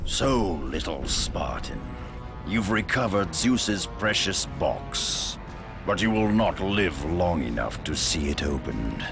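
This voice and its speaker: An actor playing a huge, ancient, gloating god of war in a video game cutscene, gravelly, slow and pompously taunting. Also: male voice, low-pitched, very animated, heavily acted. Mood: gloating menace. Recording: loud music behind. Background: music.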